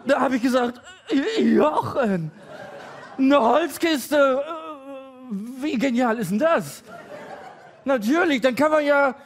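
A man in his forties doing a stand-up comedy routine with halting, hesitant delivery and deadpan timing, full of pauses and fillers. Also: very high voice. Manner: deadpan, growing excited and loud toward the end.

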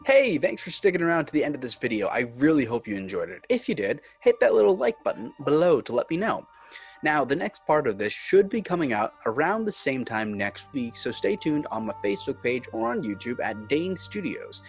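A man's voice: medium pitch, big pitch swings, brisk, breathy edge. A man working as narrator and host of a fan script reading. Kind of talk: reading screenplay directions, host outro. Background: music.

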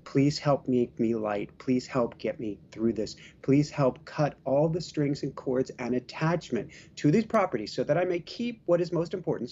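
Middle-aged male host, headset mic on a call, mellow dark tone, calm and deliberate, slightly dull audio. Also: medium pitch, slight background noise. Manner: calm, slow and deliberate when praying. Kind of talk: casual chat and spoken prayer. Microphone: headset mic on video call.

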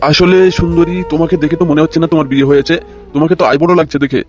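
A bossy cartoon politician, played by a man with a fairly high male voice. He talks extremely fast, flirty and gushing, repeating himself over loud music. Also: steady tone, close to an everyday voice. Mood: flirtatious. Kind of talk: comic cartoon dialogue.

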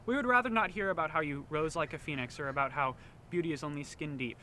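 A man's voice: a little high, fast, bright, forceful tone, slight background noise. A teenage boy reads a scripted school announcement outdoors in an eager, earnest manner. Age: teens. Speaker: student announcer. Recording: faint outdoor ambience.

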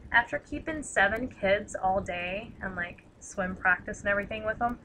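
A woman with a tired, slightly raspy voice chatting casually through a craft tutorial, rambling and self-deprecating as she works. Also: mid-range voice, normal speed, bright, forceful tone, a little hiss or hum, poor audio. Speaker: craft demonstrator.

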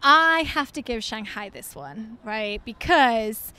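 A woman's voice: fairly high pitch, expressive, takes it slowly, pushed and bright, smooth. A woman hosting a casual podcast chat, asking questions and chiming in often. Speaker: podcast host.

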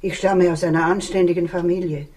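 A woman's voice: fairly low pitch, steady tone, brisk, natural voice. An actress playing an indignant, defensive woman under police questioning in a 1960s radio crime play. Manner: indignant, sharp-tongued. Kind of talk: 1960s German-language radio crime drama acting. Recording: distant from the microphone.

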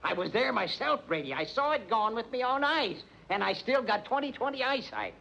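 An actor playing a gruff, impatient older police lieutenant in a 1940s radio mystery, bossy and exasperated. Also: male voice, very high voice, very animated, a little fast, pushed and bright, full character voice. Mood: agitated, exasperated. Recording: muffled 1940s radio recording, faint noise.

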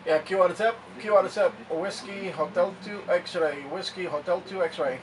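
Guy doing ham radio call signs, high voice, clipped and repetitive, far from the mic. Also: a little slow. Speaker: amateur radio operator. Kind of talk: amateur radio satellite contact calls. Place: indoor radio room. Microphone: camera mic away from the speaker. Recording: distant mic, loud noise, clicks.

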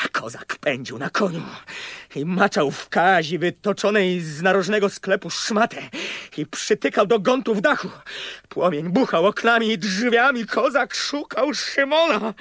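High-pitched, shaken young man. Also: fairly bright tone, dry recording, studio quality, full character voice. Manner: agitated, halting, repeating words. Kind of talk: radio drama acting.

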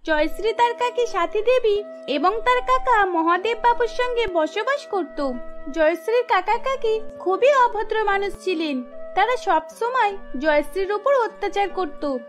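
A woman's voice: very high-pitched, animated, measured pace. A woman narrator reading a scripted cartoon story. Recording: music behind the voice.

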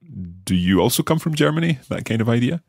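A man co-hosting a language lesson as the learner, asking questions and slowly repeating phrases. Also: mid-range voice, big pitch swings, creaky, darkish tone, breathy edge, no echo, studio quality. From Scotland. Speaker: learner co-host.

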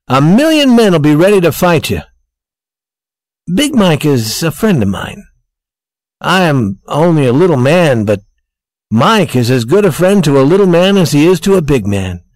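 A woman playing a humble little man in love, earnest, animated pitch, storybook dialogue. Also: dry recording.

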